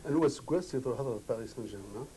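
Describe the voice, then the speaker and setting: A man's voice: medium pitch, animated, brisk, warm tone, slight background noise, poor audio. A man interviewing a former footballer, asking long, hesitant, rambling questions.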